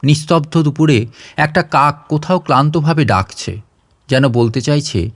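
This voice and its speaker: A man's voice: fairly high pitch, normal speed, dry recording, clean studio sound. A man reading a scripted short story as an audio narrator.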